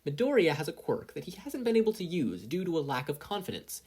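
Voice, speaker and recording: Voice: mid-range voice, sing-song, quick pace, a little mellow, slightly rough, voice pushed up. A reader voicing a smart-aleck inner conscience in a story, teasing and persistently logical. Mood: needling.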